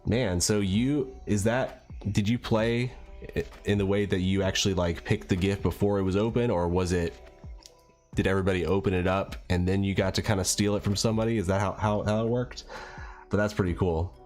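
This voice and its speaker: A man with a slightly raspy voice from a cold, chatting casually and a bit hesitantly as he hosts a livestream. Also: low-pitched, fairly even intonation, fairly quick, darkish tone, soft background music. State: getting over a cold. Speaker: livestream host and card collector.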